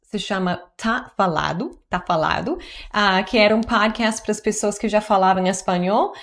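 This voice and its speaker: A woman in her forties, a native English speaker, talking in Portuguese in a chatty, earnest vlog with upbeat jumps in pitch. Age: 40s. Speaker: YouTuber teaching languages. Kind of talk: personal vlog narration to camera.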